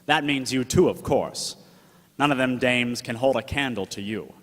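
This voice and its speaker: A man's voice: mid-range voice, normal speed, a bit bright, lightly acted. A man acting a slangy, fond and protective 1940s gumshoe in a radio drama. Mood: fond, urging. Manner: slangy wise-guy talk. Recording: echoey hall reverb.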